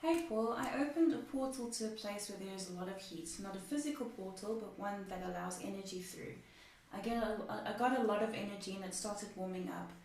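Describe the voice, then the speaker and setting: Heard from far off in heavy room echo, a woman reads out questions sent to a livestream. Clear noise sits behind her mid-pitched voice as she relays each message. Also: brisk, a little mellow. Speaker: assistant reading out viewers' questions. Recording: echoey, noisy, far from the mic.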